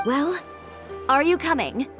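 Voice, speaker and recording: An actress playing a proud, fiery young fashion designer in a video game, self-assured and wry. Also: female voice, sits high, very animated, slow pace, music in the background, full character voice. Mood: brisk, determined.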